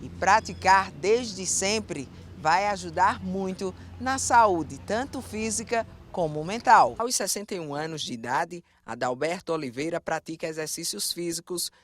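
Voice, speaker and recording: A man narrating a TV news feature in a measured voice-over. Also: high-pitched, fairly bright tone, a little hiss or hum. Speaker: TV reporter.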